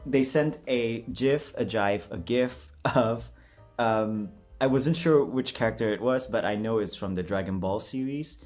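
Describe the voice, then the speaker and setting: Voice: mid-range voice, measured pace, darkish tone. Chatty, self-deprecating vlogger over music. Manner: giggly, self-deprecating, hesitant. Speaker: vlogger doing a voice acting challenge. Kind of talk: casual, chatty vlog talk.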